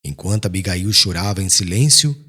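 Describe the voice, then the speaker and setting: A man's voice: deep voice, lively intonation, fairly quick, sharp tone, slightly rough. A man narrating an audiobook in a solemn, emotive and formal literary style. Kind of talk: dramatic scripted literary narration.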